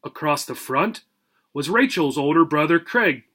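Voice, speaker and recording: Man, teacher, children's story narration, high-set voice, bright and sharp, deliberate expressive emphasis. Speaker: teacher reading aloud.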